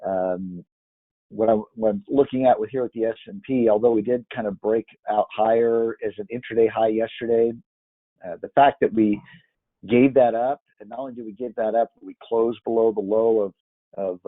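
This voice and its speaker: A man with a worn-out, hoarse voice talks through price charts, stopping often to restart his sentences. His voice sits a little low. The sound is dry and thin, like a phone call. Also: dry recording. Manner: hesitant, many fillers and restarts. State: hoarse from yelling. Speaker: market analyst. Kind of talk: screen-share chart walkthrough.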